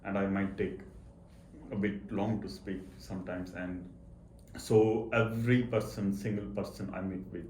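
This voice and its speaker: A man with a low voice and a stutter answers interview questions. He repeats words and halts as he explains himself earnestly. The room is echoey, with faint noise behind him. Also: level tone, measured pace, darkish tone. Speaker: interview guest, accountant. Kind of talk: personal interview talk.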